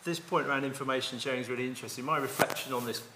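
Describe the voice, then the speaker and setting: A man's voice: mid-range voice, steady tone, very fast, sharp tone, a little hiss or hum. A man on a conference panel speaks bluntly and with self-deprecating humour, stumbling over restarts. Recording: echoey conference hall.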